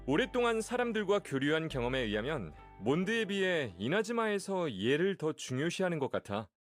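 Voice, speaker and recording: A courteous young male manservant from a video game. He talks very fast in a lightly raised, animated pitch. His manner is friendly and polite, that of someone fond of animals. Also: faint music behind. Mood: polite, earnest. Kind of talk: scripted game character voice lines.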